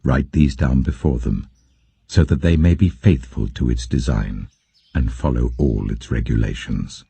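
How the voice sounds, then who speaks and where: A man voicing God speaking from a temple in a scripture audiobook, grave, slow, stern and commanding. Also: a bass voice, gravelly, mellow, breathy edge, dry recording, pitched below the performer's own voice, exaggerated voice. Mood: grave, instructing.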